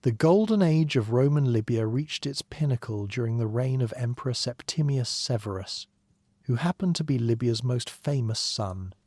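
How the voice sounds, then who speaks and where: A man narrating a documentary in a gentle, even, scripted way, with dry asides. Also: medium pitch, moderate pace, dry recording. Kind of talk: calm scripted sleep narration.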